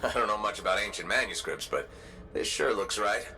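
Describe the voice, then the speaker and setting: An actor playing a laid-back armored freelancer with dry humor, in a game cutscene. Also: male voice, on the low side, a little fast, sharp tone, soft background music, poor audio. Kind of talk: video game cutscene acting.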